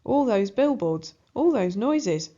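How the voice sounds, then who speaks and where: A woman narrating a children's storybook aloud in an expressive style. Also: mid-range voice, slow, highs cut off.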